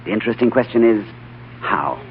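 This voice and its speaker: An actor playing a composed, shrewd gentleman in an old radio drama, urbane, courteous and polished in speech and narration. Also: medium pitch, flat delivery, fairly quick, slight background noise, natural voice. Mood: probing, wry. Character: cultured, composed, shrewd British diplomat narrator. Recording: muffled vintage radio broadcast with music.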